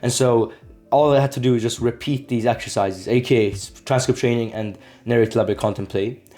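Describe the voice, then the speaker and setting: A man's voice: mid-range voice, steady tone, a little fast, dark tone, soft background music. A young man, a language-learning video maker, talking straight to camera in a casual, earnest way, leaning on "like" and "right?". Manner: earnest, repetitive, lots of "like" and "right?". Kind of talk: casual explainer vlog.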